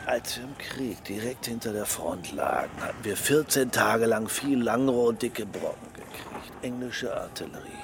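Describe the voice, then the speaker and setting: Chummy veteran police inspector, outdoors. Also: male voice, medium pitch, lively intonation, normal speed, few pauses. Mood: chummy storytelling. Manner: storytelling, hearty, coarse. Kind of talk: German radio drama acting. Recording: clear outdoor street noise.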